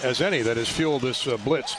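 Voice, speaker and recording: A man doing live radio basketball play-by-play in clipped, punchy, excited phrases from an arena. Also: medium pitch, brisk, background noise.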